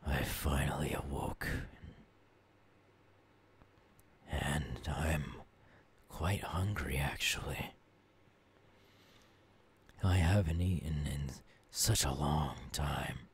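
A man playing a tormented, menacing young ghoul king in an ASMR audio roleplay, in a breathy, often whispering voice with brooding phrasing. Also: a bass voice, slow, pauses often, exaggerated voice. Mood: menacing, hungry. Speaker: voice actor.

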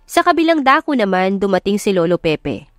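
Filipina storyteller with a dry, close sound. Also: female voice, mid-range voice, big pitch swings, brisk, no echo. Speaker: audiobook narrator. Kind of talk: scripted romance story narration.

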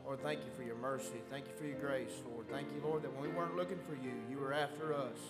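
A man's voice: mid-range voice, fairly even intonation, fast talker. A man leading an extemporaneous church prayer, earnest and pleading, addressing God again and again. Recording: loud instrumental music under the voice. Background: loud instrumental music.

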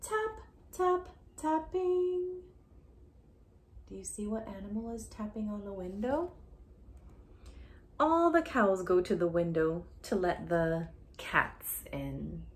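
A woman reads a children's story aloud at an unhurried pace. Her pitch leaps and dips expressively, turning sing-song on sound words and hushed in asides. She addresses young listeners directly, recorded in a room at home with slight background noise. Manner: slow, deliberate reading with questions to the listener. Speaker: children's story reader, educator. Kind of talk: interactive read-aloud of a picture book for young children. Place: small indoor room at home.

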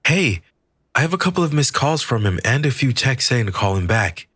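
A man's voice: mid-range voice, sing-song, brisk, fairly bright tone, slightly rough, no echo, clean studio sound, voice pushed up. A man voicing a brash, outspoken young woman in an audiobook, with sassy, emphatic delivery. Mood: sassy, pushy. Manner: sassy, emphatic questions and retorts.

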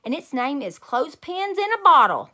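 Storybook kid, acted by a woman: bossy little girl, high and bright, blunt and emphatic. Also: sing-song, normal speed, heavily acted. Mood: eager, loud. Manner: childlike grammar, exclaiming, emphatic.

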